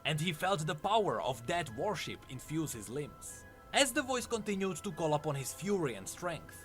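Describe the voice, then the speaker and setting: A man's voice: fairly high pitch, a bit bright. A man narrating a scripted story in a solemn, theatrical way. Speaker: lore narrator.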